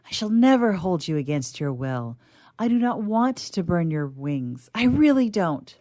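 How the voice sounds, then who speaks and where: A woman's voice: lowish voice, sing-song, unhurried, dark tone, no echo, studio quality. An actress playing a romantic, stubborn young woman in love, earnest and insistent, in a stage comedy. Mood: tender, earnest. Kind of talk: theatrical stage comedy acting.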